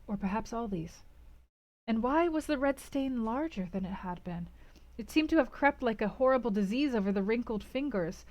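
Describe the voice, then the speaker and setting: A woman with a fairly high voice reads classic fiction aloud in a dramatic audiobook style. Her delivery is theatrical and emphatic, using pauses for weight and moving between hushed and intense phrasing. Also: animated. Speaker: host of a read-aloud book club stream.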